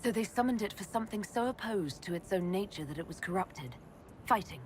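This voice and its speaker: An actress playing a steady, practical elf leader. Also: female voice, medium pitch, a little fast, slight background noise, lightly acted. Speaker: player character.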